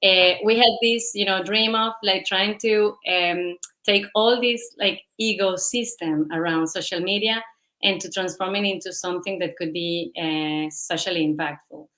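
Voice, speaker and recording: A woman's voice: medium pitch, steady tone, normal speed, pushed and bright. A woman, a company founder answering interview questions in a rambling, enthusiastic way. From Venezuela and Spain. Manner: rambling, enthusiastic, many fillers.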